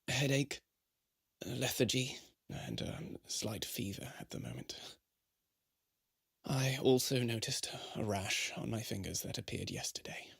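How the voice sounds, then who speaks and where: A man acting a creaky, weary, gracious prince. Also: mid-range voice, expressive, slow, long pauses, no room sound, clean studio sound, heavily acted. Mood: weak, ailing. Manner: weary, refined formal speech. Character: gracious, ailing young prince, stoical and kindly. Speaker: voice actor in an audio drama. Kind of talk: dramatic radio drama acting.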